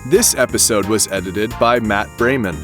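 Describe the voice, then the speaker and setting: A man's voice: on the low side, normal speed, over music. A man reading scripted end credits as the announcer of an audio production.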